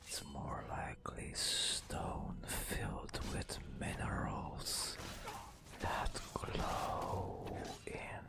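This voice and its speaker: A man softly narrating a fantasy game adventure as ASMR, in a breathy whisper with hushed, dramatic phrasing and long pauses. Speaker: ASMR gaming host. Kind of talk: soft-spoken ASMR storytelling over fantasy gameplay.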